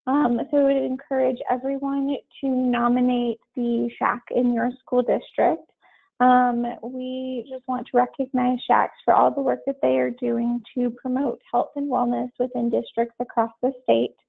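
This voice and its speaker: A woman's voice: a little high, little pitch movement, normal speed, gravelly. A woman hosting a webinar and making announcements, with many ums. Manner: filler-heavy, many ums. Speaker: webinar host. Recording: tinny phone-line audio.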